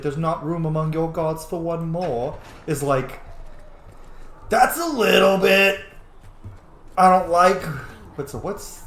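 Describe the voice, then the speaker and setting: A young man in casual game commentary, animated and quick to swear, his voice slightly raised in pitch and very lively. The sound is roomy, with faint noise in the background. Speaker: roleplay game streamer.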